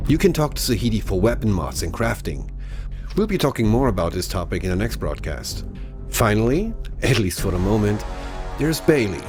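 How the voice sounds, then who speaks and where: Lively low-voiced man narrating over music. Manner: polished, explanatory. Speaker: presenter of a game broadcast. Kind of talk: scripted promotional narration.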